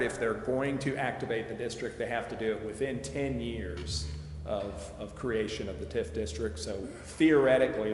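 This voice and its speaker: A man giving a measured, methodical explanation at a public meeting, answering questions with careful qualifiers. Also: fairly low pitch. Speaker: presenter answering committee questions on project financing. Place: large echoey council chamber. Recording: echoey hall reverb, clear background noise.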